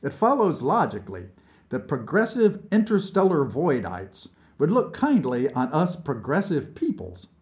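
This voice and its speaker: A man's voice: a little high, huge pitch swings, unhurried, darkish tone, voice pushed up. A man voicing a cold, doctrinaire Soviet colonel in an audiobook, with a pedantic manner. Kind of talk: dramatized audiobook dialogue.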